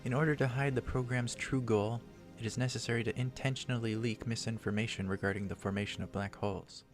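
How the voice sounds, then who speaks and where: A man's voice: mid-range voice, steady tone, fast, faint music behind, pitched above the performer's own voice, natural voice. A man voicing a serious young woman scientist from a visual novel, reciting a report formally. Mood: grave, formal.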